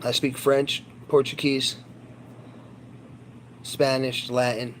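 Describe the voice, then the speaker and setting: A man's voice: medium pitch, level tone, slow pace, fairly bright tone, a little hiss or hum. A man chatting casually to his viewers on a livestream, rambling, boastful and crude. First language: English.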